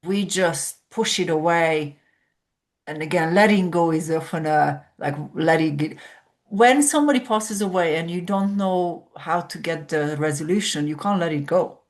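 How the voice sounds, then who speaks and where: A woman speaks as a guest on a podcast, slowly explaining her coaching ideas. Her voice sits on the lower side and has a patient, reflective way of talking. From Hungary. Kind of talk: podcast conversation.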